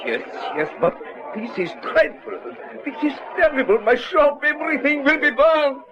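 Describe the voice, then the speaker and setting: Very high-pitched, excitable foreign man. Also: moderate pace, some chatter behind. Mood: panicked. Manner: agitated, pleading, formal foreign phrasing. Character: distraught, excitable foreign hairdresser shop owner. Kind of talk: old radio detective drama acting. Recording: dull, noisy old radio drama recording.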